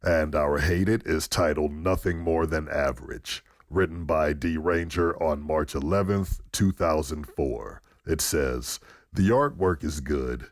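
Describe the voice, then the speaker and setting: A man's voice: rumbling low, measured pace, dry recording, studio quality. A man reading written reviews aloud on a podcast in a scripted delivery. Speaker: podcast review segment reader.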